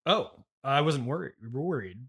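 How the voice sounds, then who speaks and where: An American man hosting a casual improvised comedy interview as a halting, politely baffled straight man. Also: a little high, big pitch swings, gravelly, a bit bright, slightly rough. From United States, Illinois. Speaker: podcast host and interviewer.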